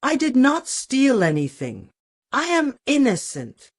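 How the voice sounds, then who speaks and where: An innocent, wronged village boy from a storybook folktale, as a woman narrator plays him. He sounds earnest and pleading, with animated pitch in a dry recording. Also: slow pace, dry recording, full character voice. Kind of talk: storybook character voice.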